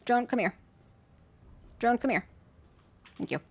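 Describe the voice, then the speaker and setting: A woman's voice: fairly high pitch, animated, a little slow, a little mellow, husky. A young woman streaming a game from home, chatting to herself as she builds, her voice a little worn. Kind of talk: casual gameplay commentary.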